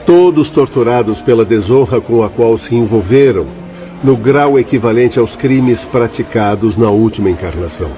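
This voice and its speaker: An actor in a Brazilian radio drama playing an earnest, morally firm spirit doctor who explains things in measured, formal speech with a Brazilian accent. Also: male voice, mid-range voice, natural voice. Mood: explanatory. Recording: dull lo-fi audio, faint music.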